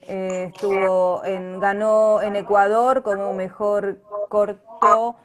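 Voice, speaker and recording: A woman's voice: medium pitch, flat delivery, takes it slowly. A woman with an Argentine accent speaking casually as an interview guest on a live internet call. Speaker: interview guest, writer-director. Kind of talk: casual chat. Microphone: internet live video call.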